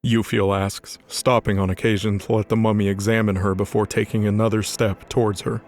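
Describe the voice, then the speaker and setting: A man's voice: on the low side, level tone, fairly quick, darkish tone. A man giving scripted narration for a dramatized fantasy story.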